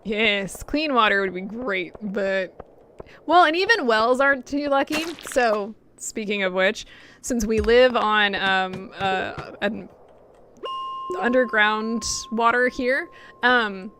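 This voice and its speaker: Young woman streamer, kinda high voice, rambly chat w/ lots of ums, voice going a bit hoarse, faint hiss behind. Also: takes it slowly, pushed and bright. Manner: chatty, rambling, many fillers and laughs. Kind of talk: casual chat while gaming.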